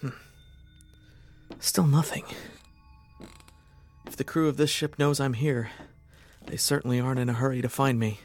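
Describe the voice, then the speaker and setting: A man's voice: mid-range voice, steady tone, normal speed, long pauses, a little mellow, faint music behind, natural voice. A man acting a wary, pragmatic military officer stranded on a hostile ship in a science fiction audio drama, terse and dry as he talks to himself. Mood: guarded, reporting. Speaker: lead actor in audio drama.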